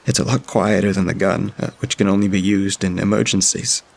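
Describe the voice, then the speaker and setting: A man reading as a halting, frightened young child. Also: low voice, fairly even intonation, vocal fry. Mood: hushed, halting. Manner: halting, with frequent filler sounds. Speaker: storyteller. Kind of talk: first-person diary reading.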